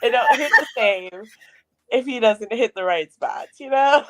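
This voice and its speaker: A woman with a low voice, co-hosting a casual podcast chat, frank and profane and quick to laugh. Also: animated, a bit bright. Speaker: podcast co-host.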